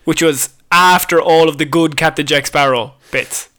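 A woman's voice: sits low, fairly even intonation, normal speed, a bit bright. An Irish woman co-hosting a comedy podcast, heckling in a blunt, sweary, incredulous way. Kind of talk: casual comic banter.

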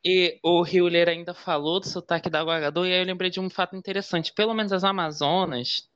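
A woman's voice: low-pitched, fairly bright tone, no echo. Chatty woman on dry lo-fi audio. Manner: chatty, hesitant, trails off with fillers. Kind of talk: casual film review chat.

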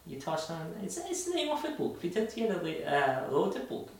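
Gravelly man in an echoey room. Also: on the high side, wildly swooping pitch, moderate pace. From Iran. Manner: hesitant, many fillers and restarts. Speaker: podcast co-host. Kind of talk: casual podcast conversation. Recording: very echoey room, distant mic.

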